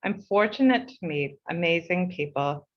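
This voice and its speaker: A woman's voice: lowish voice, animated, muffled recording. Heartfelt New woman reading a speech. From United States. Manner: measured and heartfelt, slowing for emphasis. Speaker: board chair. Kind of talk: reading a prepared speech at an online meeting.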